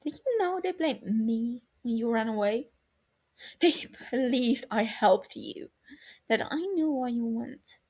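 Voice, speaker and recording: A woman voicing a bitter, wounded younger brother in an audio story, heated and scornful, breaking into breathy hurt. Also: fairly high pitch, expressive, takes it slowly, darkish tone, heavily acted. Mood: bitter, anguished.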